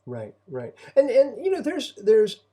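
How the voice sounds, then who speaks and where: A middle-aged man talking conversationally as a parent and co-host, reflective and halting, often repeating phrases. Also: high-pitched, wildly swooping pitch, dark tone.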